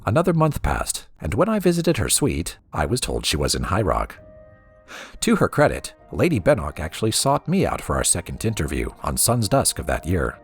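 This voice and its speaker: Deep-voiced man reading with animated swoops. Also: moderate pace, slightly rough. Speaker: let's play host reading in-game books aloud. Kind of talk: expressive scripted narration, storybook reading. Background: music.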